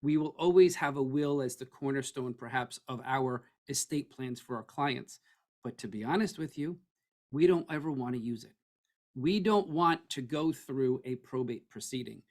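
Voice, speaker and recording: A middle-aged man with a hoarse, froggy voice, presenting an estate planning webinar in a conversational way that keeps checking in with "okay?". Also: medium pitch, normal speed. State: hoarse, losing his voice.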